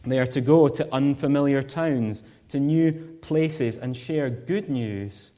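A married Scottish man giving a sermon as a guest preacher, earnest and measured, with rhetorical questions. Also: medium pitch, warm tone. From Scotland.

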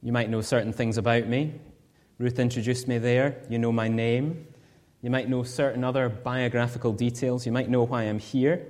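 A man's voice: medium pitch, flat delivery, darkish tone. Earnest Scottish man preaching. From Scotland. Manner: earnest, measured, with rhetorical questions. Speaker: guest preacher. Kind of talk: sermon.

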